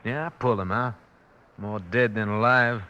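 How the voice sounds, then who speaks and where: An American actor playing a cocky, unrepentant outlaw with a western drawl in an old-time radio drama. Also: male voice, on the low side, fairly even intonation, normal speed, pushed and bright, clear, resonant tone, highs cut off. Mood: needling.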